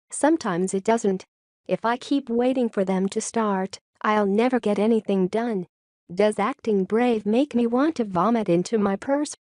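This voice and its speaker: A woman reading forum posts aloud in a steady, even reading voice. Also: mid-range voice, no room sound, clean studio sound.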